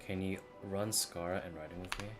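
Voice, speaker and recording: A man's voice: low voice, steady tone, normal speed, music in the background. A man streaming a video game and chatting casually in slangy, informal language. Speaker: live streamer. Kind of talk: casual gaming stream chat.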